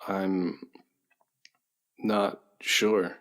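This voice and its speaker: Male actor as dazed prisoner, low voice, extremely slow, halting, vacant, radio play. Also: little pitch movement, a bit bright, full character voice. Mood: dazed, uneasy. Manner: halting, vacant, short replies. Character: dazed, empty, big tough male prisoner, brainwashed.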